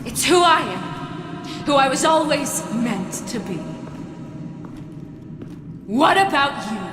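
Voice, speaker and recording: An actress playing a vengeful masked villain in a video game, self-righteous and menacing, with a theatrical, taunting delivery. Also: female voice, high voice, a bit bright, exaggerated voice. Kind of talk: video game cutscene acting. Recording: distant, heavily echoing hall, clear background noise.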